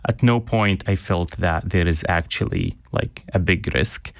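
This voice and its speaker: A young man from Syria, an interview guest speaking earnestly, pausing with frequent ums and restarts. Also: low voice, no room sound, clean studio sound. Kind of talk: reflective interview conversation.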